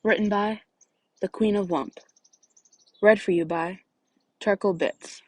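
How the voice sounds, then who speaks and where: A woman narrates fiction in a slightly low, husky voice. The recording is very dry and close, and she reads in a scripted storytelling style. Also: a little mellow, no echo, poor audio. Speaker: audiobook-style fan fiction reader.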